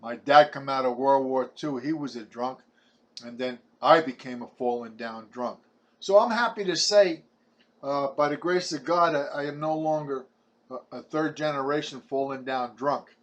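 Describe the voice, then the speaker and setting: A man's voice: mid-range voice, lo-fi sound. A man in his seventies from Brooklyn with a New York accent, talking to camera in a rambling personal testimony, often repeating his words. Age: 70s. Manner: halting, repeats words, chatty and preachy.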